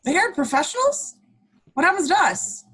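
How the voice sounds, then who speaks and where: Woman as a high-voiced nerdy office sidekick. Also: big pitch swings, moderate pace, a bit bright, heavily acted, rough recording. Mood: teasing. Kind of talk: amateur screenplay table read.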